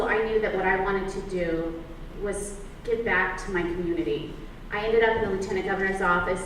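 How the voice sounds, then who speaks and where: A woman's voice: medium pitch, slight background noise. A woman from Southern California giving a fluent, confident campaign speech at a public forum. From San Diego County, California. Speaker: political candidate. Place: public library forum room. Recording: echoey room, distant mic.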